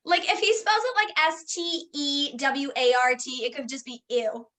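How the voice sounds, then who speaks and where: A woman chatting casually and asking questions, giggly and expressive. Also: sits high, moderate pace. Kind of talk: casual chat.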